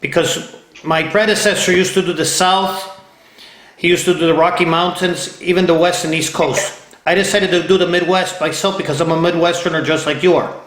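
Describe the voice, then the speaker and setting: A man's voice: on the high side, fairly even intonation, normal speed, a bit bright. A man from Ohio hosting an interview, eager and repeating himself as he restarts phrases. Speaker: interview host. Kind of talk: casual interview. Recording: echoey room.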